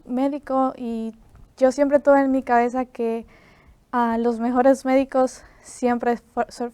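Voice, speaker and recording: Young Ecuadorian woman, clear high voice. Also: no echo. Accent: Ecuadorian Spanish. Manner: soft-spoken, many "am" fillers. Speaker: student guest. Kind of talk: interview.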